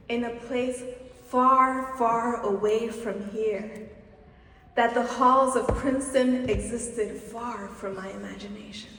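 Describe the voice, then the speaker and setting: Slow, deliberate woman in echoey hall. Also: fairly high pitch, fairly bright tone. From Dominican Republic. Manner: slow, deliberate, rhetorical speech with emphatic pauses. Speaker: keynote speaker. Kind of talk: commencement speech. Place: large auditorium stage. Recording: distant, echoey hall with long reverb.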